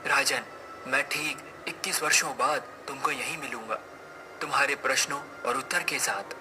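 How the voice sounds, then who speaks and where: A man's voice: medium pitch, moderate pace, over music, lightly acted. A man playing a wrathful hermit sage in a cartoon tale, speaking in grave, declamatory, old-fashioned address. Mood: stern, solemn. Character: wrathful male hermit sage pronouncing a solemn curse. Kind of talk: dramatic cartoon story acting.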